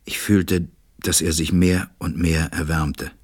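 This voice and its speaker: Radio play lead: tender, troubled-hearted man, low breathy husk, slow deliberate delivery. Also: flat delivery, heavily acted. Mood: grave, sorrowful. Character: pensive, tender adult male narrator befriending a small boy. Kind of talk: dramatised literary narration, German radio play.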